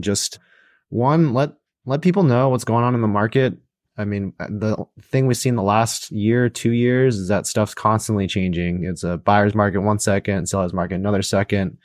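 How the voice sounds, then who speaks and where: Monotone guy on a podcast, low voice, super dry sound. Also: dry recording. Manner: monotone, steady. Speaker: podcast co-host. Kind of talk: casual podcast chat.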